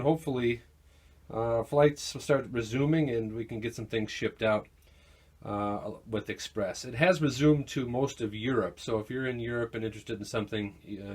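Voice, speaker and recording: A man giving a casual, informal product demo of audio gear, halting a little as he thinks aloud. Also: medium pitch. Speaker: gear seller demonstrating vintage audio equipment. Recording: noticeable background noise, amateur demo recording.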